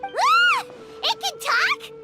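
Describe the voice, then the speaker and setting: A woman voicing a small, childlike floating fairy companion in a video game, excitable and huffy, with a squeaky cartoonish delivery. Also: drawn-out words, loud music behind the voice, heavily acted. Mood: startled, indignant. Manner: refers to self in third person, squeaky.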